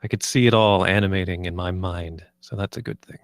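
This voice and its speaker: A man giving polite, casual feedback, talking in a measured and tentative way. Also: deep voice, no echo, clean studio sound. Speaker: voice actor giving script feedback.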